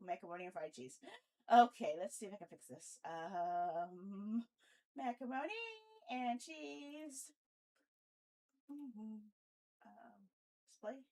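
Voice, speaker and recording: A woman chatting casually on a live game stream, playful and animated, often muttering to herself. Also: medium pitch, slow, long pauses.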